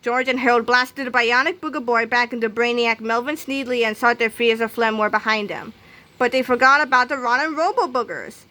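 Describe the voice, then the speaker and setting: A woman's voice: a little high, fairly quick, bright, forceful tone. A woman reading a children's book aloud as scripted narration. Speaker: storybook reader.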